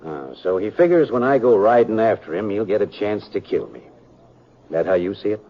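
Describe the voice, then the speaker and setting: A man's voice: on the low side, expressive, brisk, natural voice. An American man playing a weary, troubled sheriff in a radio western, plain-spoken and folksy. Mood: concerned. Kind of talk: 1950s radio western acting. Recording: muffled 1950s radio recording, faint noise.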